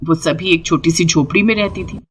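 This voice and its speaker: A woman's voice: lowish voice, fairly quick, slightly rough. A woman narrating a scripted moral story as the storyteller.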